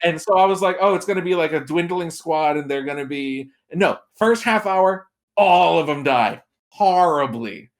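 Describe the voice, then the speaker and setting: A man's voice: fairly high pitch, lively intonation, normal speed. A man talking casually as a podcast guest, giving film opinions and acting out imagined reactions as he tells it. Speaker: podcast guest, movie critic.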